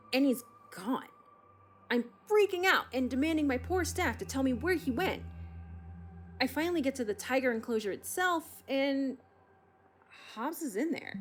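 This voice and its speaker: An actress playing a frustrated, incredulous middle-aged zoo doctor, telling her story emphatically with dramatic pauses in a podcast-style audio drama. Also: a little high. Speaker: expert interviewee.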